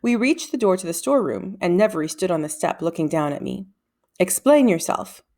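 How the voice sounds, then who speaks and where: A woman's voice: on the low side, lively intonation, fast, a little mellow. A woman reading a children's novel aloud as a scripted storybook narrator.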